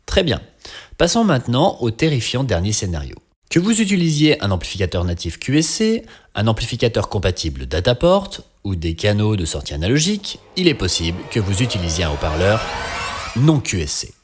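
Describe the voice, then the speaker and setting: Male tutorial narrator for a training course: husky, very varied intonation, instructional with a little dry wit. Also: on the low side.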